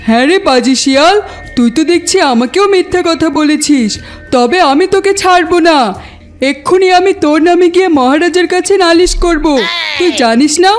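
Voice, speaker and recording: A woman's voice: very high-pitched, moderate pace, soft background music. A woman voicing a righteous, scolding bear in a children's cartoon, stern and indignant.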